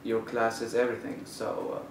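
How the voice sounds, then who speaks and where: A young man from Saudi Arabia, a student, answering interview questions in a halting way with many fillers and restarts. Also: on the low side, steady tone, vocal fry. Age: young adult. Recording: roomy, distant mic with faint noise.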